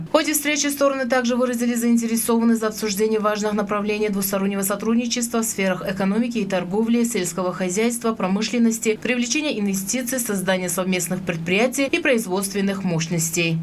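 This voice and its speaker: A woman from Tajikistan presents the news in a formal, measured newsreader voice. Her pitch sits in the middle of the range, neither high nor low. She keeps the same steady official tone through the whole report. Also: slight background noise. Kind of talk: scripted news reading.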